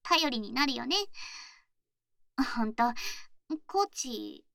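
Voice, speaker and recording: A woman's voice: on the high side, slow, creaky, no room sound, studio quality, heavily acted. A woman playing a cheerful, scatterbrained young athlete girlfriend, affectionate and teasing, in an intimate voice drama. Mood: affectionate, thankful. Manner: playful, bubbly, expressive. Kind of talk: intimate situation voice drama, acted.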